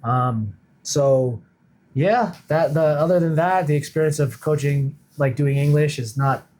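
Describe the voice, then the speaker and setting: Higher-pitched man in casual conversation. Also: unhurried, a little mellow, rough recording. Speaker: podcast guest, coach. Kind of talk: casual conversational interview talk.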